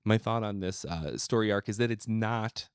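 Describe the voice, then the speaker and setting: Low-voiced man rambling through a casual discussion. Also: moderate pace, clean tone, no echo, clean studio sound. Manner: rambling, thinking aloud. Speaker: podcast co-host. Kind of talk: casual discussion of an old radio serial.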